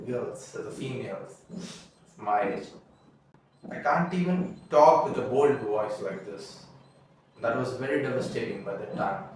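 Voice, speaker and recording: Young man, first language tamil, halting confessional monologue, very echoey room, mic set back, faint background hiss. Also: mid-range voice, distant mic. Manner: halting, repeats and restarts words, earnest. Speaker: vlogger.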